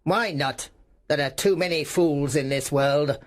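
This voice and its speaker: Storybook old miser, voiced by a male reader: mean, dismissive, hard voice pitched on the high side. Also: measured pace, close to an everyday voice. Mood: irritated. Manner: curt, dismissive. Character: mean, cold, grumpy old miser businessman.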